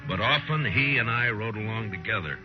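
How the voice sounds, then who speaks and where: An actor playing a steady, tough, plain-spoken frontier marshal in a 1950s radio western, with a terse, world-weary delivery. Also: male voice, lowish voice, brisk, over music, lightly acted. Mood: grim narration. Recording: dull, faintly noisy 1950s radio recording.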